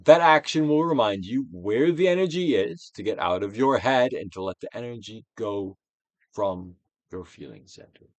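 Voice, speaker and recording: A man narrates a self-help book like a stage performance. His pitch swings widely as he swells and drops his emphasis with deliberate care. The recording is dry and close, with hardly any room sound. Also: lively intonation, no room sound, highs cut off. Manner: theatrical, deliberate delivery with swelling and falling emphasis. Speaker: narrator, audiobook reader. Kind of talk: dramatic reading of a self-help book, guided exercise.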